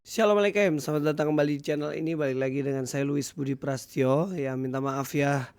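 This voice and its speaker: A hoarse man with an Indonesian accent, giving casual commentary to his viewers as a video host. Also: on the high side, fairly even intonation, a little fast, loud music behind the voice. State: hoarse, recovering from illness. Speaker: YouTube host.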